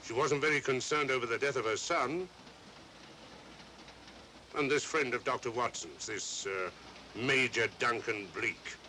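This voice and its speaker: An actor playing a sceptical police inspector, blunt and questioning, in 1940s mystery film style. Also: male voice, mid-range voice, unhurried, long pauses, sharp tone. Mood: suspicious, reasoning. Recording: noisy old film soundtrack.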